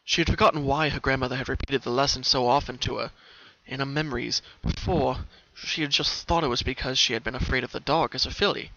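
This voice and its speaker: A man's voice: medium pitch, fairly even intonation, fast talker, few pauses, bright, forceful tone. A man reading a story aloud as narrator, in a dramatic style. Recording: dull, muffled home recording.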